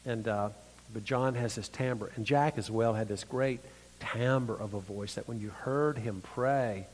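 A middle-aged man with a thin, mid-pitched voice speaks at a church conference. His sermon is earnest and reflective, with halting restarts. The recording carries a long, echoing hall reverb. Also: a little hiss or hum.

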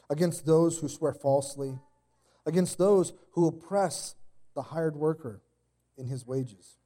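A man in his thirties preaching a casual sermon while sick with a cold, his voice deeper than usual, with a slight cough and many fillers and restarts. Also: warm tone. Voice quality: extra deep voice today. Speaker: pastor. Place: church.

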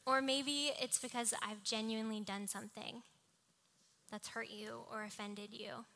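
Young female preacher, fairly high and very bright small voice, mixing scripture with personal anecdote. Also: fairly even intonation, a little slow. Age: young adult. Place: live talk before a congregation.

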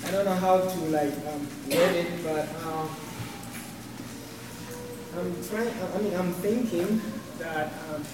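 Man, accented English, hesitant, slow, far off in an echoey noisy hall. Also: sits high, steady tone. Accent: non-native English accent. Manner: hesitant, many fillers, reads scripture aloud. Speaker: congregation member asking a question. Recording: distant, echoey, noisy room.

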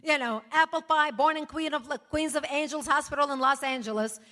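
A woman's voice: high voice, fairly quick. A woman for whom English is not her first language gives an impassioned, emphatic speech at a political rally, breaking into laughs now and then. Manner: forceful, emphatic, punctuated with laughs. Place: large hall before a live audience. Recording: echoey hall, long reverb.

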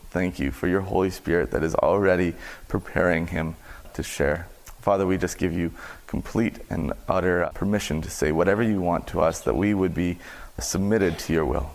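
A man's voice: low-pitched, vocal fry. A man who is the host pastor, introducing a guest and praying over him in an earnest, repetitive way. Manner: earnest, repetitive prayer phrasing. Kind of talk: congregational prayer.

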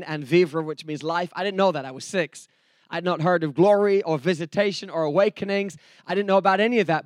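A man's voice: high voice, no echo. A man from England with an English accent, preaching a sermon through personal testimony in an earnest storytelling way with joking asides. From England, later Texas. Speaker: preacher, evangelist.

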